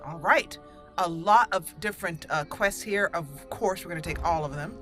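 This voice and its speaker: A woman's voice: fairly low pitch, normal speed, fairly bright tone, faint music behind. A woman giving casual, chatty commentary as she plays and roleplays a game, talking to the characters. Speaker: host of a roleplay game playthrough.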